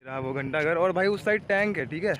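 A man's voice: on the high side, a little fast, noisy background. A young man, chatting informally as he narrates a vlog into a handheld camera. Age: young adult. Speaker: student vlogger. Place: outdoors on campus, streets and station. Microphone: handheld camera at arm's length. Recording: music over outdoor phone-style vlog audio. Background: loud music.

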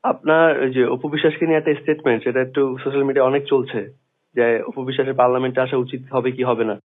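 A man's voice: medium pitch, fairly even intonation, fast talker, faint music behind. A man with a Bangladeshi accent asking informal, deferential questions as a reporter on a phone call. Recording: tinny phone line.

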